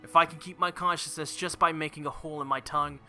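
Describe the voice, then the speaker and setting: A man's voice: fairly high pitch, steady tone, fast, pushed and bright, faint music behind. A man hosting a let's play, reading visual novel text aloud in a dramatic narrating style. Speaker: let's play video host.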